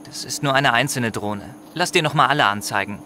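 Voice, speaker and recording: Actor as weary, lanky male technician, radio play, bright timbre, faint outdoor ambience. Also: mid-range voice, brisk, slight background noise, lightly acted. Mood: reassuring. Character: tall, gaunt, exhausted male technician. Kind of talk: audio drama acting.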